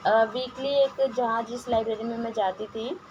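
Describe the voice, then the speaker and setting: A hoarse woman with a sore throat, teaching exam-prep questions and answers with frequent hesitations and restarts, mixing English words into her talk. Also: a little high, fairly even intonation, normal speed, a little mellow. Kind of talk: reading quiz questions and answers, casual explanation. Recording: lo-fi home recording with noise.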